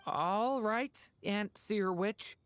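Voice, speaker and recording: A woman's voice: mid-range voice, drawn-out words, clear, resonant tone, no room sound. A woman voicing a polite, earnest, unsure young child in a game. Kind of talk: game voice acting.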